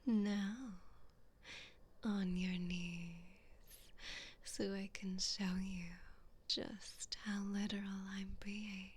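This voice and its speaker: Slow, whispering evil queen, acted by a woman. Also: mid-range voice, flat delivery. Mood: menacing, domineering. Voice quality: breathy, whispery. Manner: slow, condescending, commanding, possessive. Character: cruel, mocking evil queen toying with her captive. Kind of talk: whispered ASMR roleplay.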